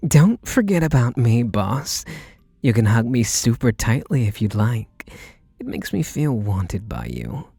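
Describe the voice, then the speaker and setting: A man's voice: mid-range voice, big pitch swings, unhurried, creaky, mellow, slightly rough, no echo, clean studio sound, soft background music, exaggerated voice. A man playing an eager, submissive, lovestruck butler who gushes earnestly and pleadingly in an intimate ASMR roleplay. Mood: needy, adoring.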